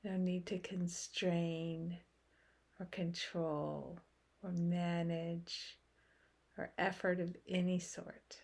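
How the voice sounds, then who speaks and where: A woman leading a guided meditation in a soothing, unhurried way, with long pauses between short phrases. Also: on the low side, flat delivery, darkish tone.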